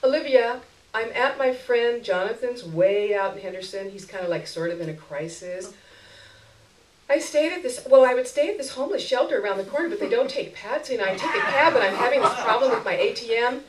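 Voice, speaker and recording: A woman reader puts on a chatty, imposing woman from a short story. Her voice is raised and very animated as she rambles and pleads. It is heard at a distance in an echoey room. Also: on the high side, brisk, pitched above the performer's own voice, lightly acted. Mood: pleading, flustered. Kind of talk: acted short-story reading before an audience. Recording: roomy echo, recorded far from microphone.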